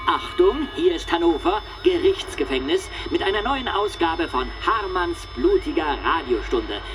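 A 1920s radio announcer proclaims in a fairly high voice. The sound is a noisy old broadcast with a radio filter, as in a period radio play. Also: male voice, sing-song, a little slow. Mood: proclaiming.

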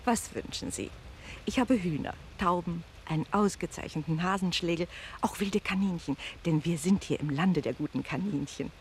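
A woman's voice: lowish voice, normal speed, a little hiss or hum, close to an everyday voice. A woman playing a dramatic, emphatic innkeeper in a theatrical radio play. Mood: courteous, eager. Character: loud, indignant, lamenting innkeeper woman, quick to switch to courtesy.

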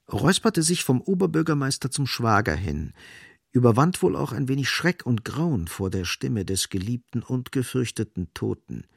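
A man's voice: medium pitch, vocal fry, husky, no echo, clean studio sound. A man reading literary scripted narration as the narrator of a radio drama.

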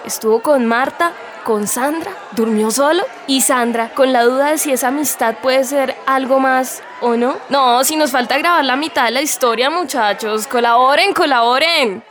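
A woman's voice: high voice, normal speed, hardly any pauses, a bit bright, soft background music. A woman playing an exasperated radio soap director, scolding and insistent, with a Colombian accent. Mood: pleading. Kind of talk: comic radio soap dialogue. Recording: faint ambient background noise.

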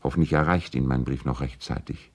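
A gentlemanly lawyer and concerned old friend in a vintage radio play. He has a low, deep voice and delivers his letters in an earnest, personal, pleading way. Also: steady tone, fairly quick. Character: courteous, worried male lawyer and loyal family friend. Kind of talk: 1970s German radio play, letters read aloud.

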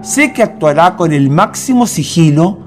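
An actor playing a grave, commanding president in a radio drama, speaking formally and deliberately. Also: male voice, fairly high pitch, animated, faint music behind, lightly acted.

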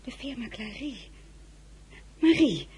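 A very breathy, mellow-voiced crown princess in a radio drama. She is gracious and weary as mistress of the house, soft-spoken, with worry under her words. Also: fairly high pitch, very animated, slow pace, full character voice. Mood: worried. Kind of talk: radio drama acting with diary narration.

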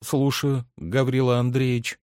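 A man voicing a timid, submissive young maidservant in a storybook, speaking quietly, hesitantly and obediently. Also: medium pitch, flat delivery, unhurried, clear, resonant tone, dry recording, clean studio sound, voice pushed up, close to an everyday voice. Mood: timid obedience. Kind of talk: dramatic literary audiobook character reading.

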